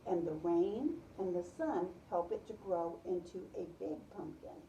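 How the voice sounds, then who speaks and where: A woman's voice: lowish voice, expressive, moderate pace, mellow, lo-fi sound, slight background noise. A woman teacher reading a picture book aloud to a preschool class, in the manner of storytime with questions. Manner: repeats and affirms pupils' answers. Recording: roomy, distant microphone.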